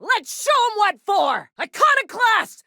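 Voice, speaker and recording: An actress playing a tough, gruff female rebel leader in a video game, with a blunt, salty, commanding manner. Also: very high-pitched, very animated, measured pace, pushed and bright, no echo, clean studio sound, heavily acted. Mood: fierce, shouting.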